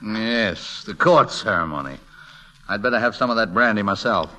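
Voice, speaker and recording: An actor playing an eccentric, flustered gentleman painter in a 1940s radio play, brusque and prone to stammering. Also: fairly low pitch, animated, moderate pace. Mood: blustering, dismissive. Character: eccentric, blustering gentleman painter, fond of his servant.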